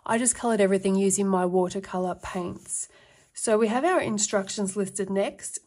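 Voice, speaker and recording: A woman talks through an art workbook as she turns its pages, reading the printed text aloud in an even way and then adding her own tips. Her delivery is relaxed and conversational, with the odd um along the way. Her voice sits at a middle pitch. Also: moderate pace. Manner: reads passages aloud, relaxed with fillers. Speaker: art book reviewer. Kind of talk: casual flip-through narration.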